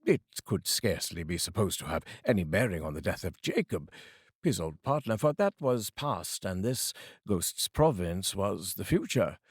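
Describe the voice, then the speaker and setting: Animated male storybook reader. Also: deep voice, normal speed, slightly rough, no echo, clean studio sound. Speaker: narrator. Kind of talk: expressive storybook reading.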